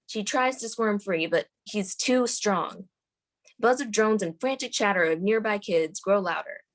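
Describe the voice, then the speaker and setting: A woman's voice: mid-range voice, measured pace. A woman reading screenplay stage directions as the narrator at a table read.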